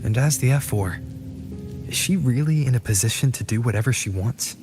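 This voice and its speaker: A man plays a thoughtful champion gladiator in a fantasy video game. His mid-pitched voice is reserved and serious, with a wary, deliberating manner, set over clear background music. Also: expressive, brisk, natural voice. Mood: doubtful. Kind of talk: scripted video game voice acting. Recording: music behind the voice.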